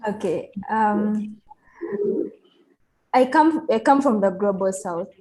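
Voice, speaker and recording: Woman, panelist, earnest, stretches key words, mellow tone. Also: medium pitch, lively intonation, poor audio. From Uganda. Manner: earnest, slows down to stress words. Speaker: panelist, climate activist. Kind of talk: panel discussion answer.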